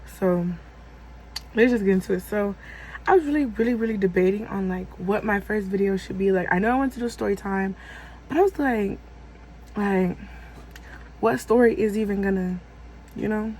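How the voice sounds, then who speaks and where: A woman's voice: medium pitch, normal speed, pauses often, darkish tone, a little hiss or hum, poor audio. A woman in her twenties telling a personal story on her vlog in a rambling, casual way, full of fillers and self-corrections. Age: 20s. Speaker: vlogger.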